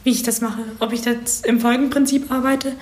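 A woman in her twenties from Berlin, explaining things informally in a casual interview with hesitant pauses. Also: a little high, little pitch movement, a little mellow. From Berlin, Germany. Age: 20s. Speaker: interviewee, creative writing student and audio drama writer. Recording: roomy, echoing interview recording.

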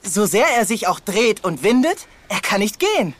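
An actor playing an eager, curious, excitable young boy adventurer in a children's audio drama. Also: sits high, moderate pace, in a large hall. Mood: excited, triumphant. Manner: excitable, exclaiming.

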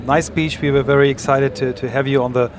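Male podcast interviewer, medium-pitched, conversational, busy crowd behind. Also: level tone, a little fast. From Germany.

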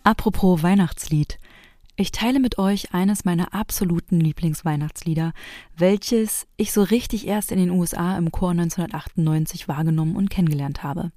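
Mellow, dry-sounding woman narrator. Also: lowish voice, moderate pace, dry recording, faint music behind. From East Berlin, Germany. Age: 40s. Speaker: host and narrator of a private audio piece. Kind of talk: personal scripted narration.

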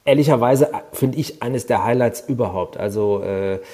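A man's voice: medium pitch, moderate pace, warm tone. A man co-hosting a travel podcast, chatting enthusiastically and rambling with many fillers. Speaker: podcast co-host and company founder. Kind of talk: casual podcast chat.

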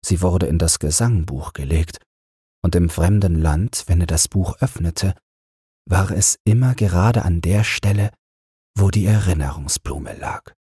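Deep, very husky male bedtime narrator. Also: dry recording, clean studio sound. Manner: calm, soothing, measured. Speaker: audiobook narrator. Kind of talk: slow bedtime fairy tale narration.

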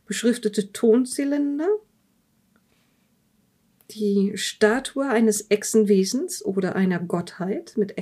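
A woman acting as a curious female investigator in an audio drama, with a soft, mellow voice. She speaks in a measured, thoughtful way, whether reading or questioning. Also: medium pitch. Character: curious, probing female interviewer examining a dead man's journal. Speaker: interviewer in an audio-drama role-play. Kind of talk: improvised audio drama dialogue with journal reading.

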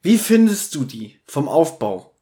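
A man with a slightly high voice talks as a podcast co-host. His delivery is informal and animated, in the casual style of reviewing audio dramas with a friend, often putting questions to the other host. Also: a little slow.

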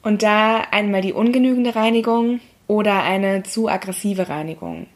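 A young woman with a hoarse, scratchy voice, hosting a podcast as a pharmacist and explaining things step by step in a casual, friendly way. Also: medium pitch, expressive, moderate pace.

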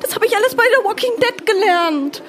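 Woman acting a shrill, panicked young hotel guest. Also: lively intonation, a little fast, noisy background. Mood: tense, scared. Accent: German. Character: panicked young female hotel guest fleeing undead pets. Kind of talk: comic horror audio skit.

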